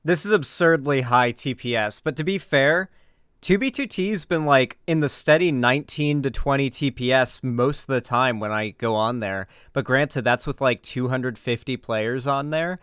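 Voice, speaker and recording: A man's voice: medium pitch, moderate pace, fairly bright tone, clean tone, no room sound. Casual male streamer, clean dry sound. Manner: informal, swearing, gamer slang. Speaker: streamer reacting to videos and chatting with viewers. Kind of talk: casual live stream commentary.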